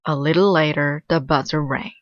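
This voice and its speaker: A woman narrating a children's storybook aloud in a measured, deliberate way. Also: low voice, steady tone, no echo, highs cut off. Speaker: storybook reader.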